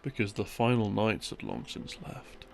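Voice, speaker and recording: Low, gravelly boyfriend storyteller played by a man. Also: huge pitch swings, darkish tone, heavily acted. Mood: relaxed storytelling. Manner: casual, unhurried, conversational asides and hesitations. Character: boyfriend rambling through video game lore, easygoing adult man. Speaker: audio roleplay performer. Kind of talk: rambling bedtime storytelling, sleep-aid roleplay audio. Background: background music.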